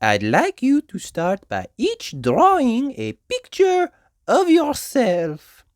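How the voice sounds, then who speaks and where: A woman's voice: a little high, wildly swooping pitch, a little mellow, no echo, studio quality, pitched above the performer's own voice, heavily acted. A woman voicing an encouraging female gazelle teacher in a children's storybook, drawing out her words. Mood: encouraging, instructive. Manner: drawn-out, encouraging teacher talk.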